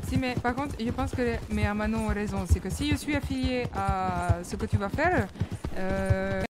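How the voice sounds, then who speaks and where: A woman's voice: mid-range voice, moderate pace, loud music behind the voice. A woman playing a chatty young Mexican woman in a roleplay, with a put-on Mexican accent and hesitant talk full of fillers. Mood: cheerful. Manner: hesitant, full of fillers, sprinkles Spanish words. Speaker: roleplay player.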